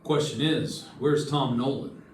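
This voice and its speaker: A man's voice: medium pitch, steady tone, unhurried, a little hiss or hum, close to an everyday voice. Man playing terse radio detective in echoey room. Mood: brisk, probing. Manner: terse, pointed. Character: hard-boiled male investigator narrating and questioning suspects. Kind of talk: old-time radio detective drama performed live. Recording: echoey room, distant mic, music.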